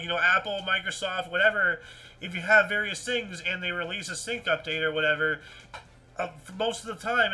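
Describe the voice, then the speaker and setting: A man with a high-pitched, strikingly bright and forceful voice hosts a casual news stream. He talks in an animated, rambling way, circling back over his phrases as he comments. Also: rough recording. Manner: animated, repeats himself, rambling. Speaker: host of a livestream news show. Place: indoors at a computer with webcam.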